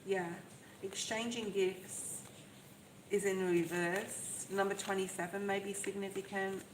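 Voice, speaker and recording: A soft-voiced woman giving a live tarot reading, talking in a chatty, reassuring way and often checking in with "okay?". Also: medium pitch, flat delivery, unhurried. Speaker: tarot reader. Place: outdoors in the rain. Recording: echoey, with loud rain noise. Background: rain noise.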